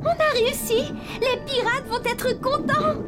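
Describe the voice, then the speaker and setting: A woman voicing a sweet, excitable little mermaid in a French-dubbed children's cartoon. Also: extremely high pitch, measured pace, over music. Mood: grateful.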